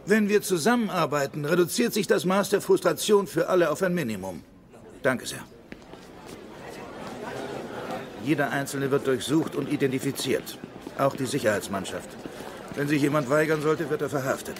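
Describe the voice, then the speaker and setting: Film dub: actor as commanding federal agent, curt and dry, animated delivery, higher-pitched male voice. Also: a little fast, noisy background, lightly acted. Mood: firm, official. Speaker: lead investigator. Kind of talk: German film dub acting. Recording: film soundtrack with crowd murmur.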